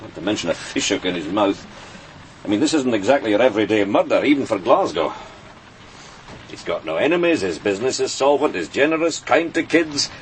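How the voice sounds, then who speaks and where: An actor playing a harried senior police detective, weary and dryly sardonic, in a naturalistic radio crime drama. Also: male voice, on the low side, very animated, fairly quick, a little hiss or hum, low-quality recording.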